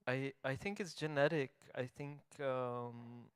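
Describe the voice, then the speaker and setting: A young adult man, a writer and translator, talking in a relaxed, reflective way in a casual conversation. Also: mid-range voice, slow, clean tone, no echo, studio quality.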